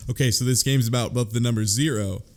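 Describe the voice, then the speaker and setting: A man's voice: mid-range voice, quick pace. A man talking casually as a podcast panelist, riffing in a jokey, blunt way. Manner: animated riffing, profane, jokey. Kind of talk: casual book club discussion.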